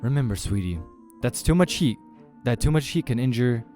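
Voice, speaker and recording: A man puts on a doting surgeon boyfriend for a horror visual novel. The voice is lifted in pitch, chatty, fond and cheerfully patient, with music underneath. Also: expressive, normal speed, warm tone, natural voice. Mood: gently cautioning.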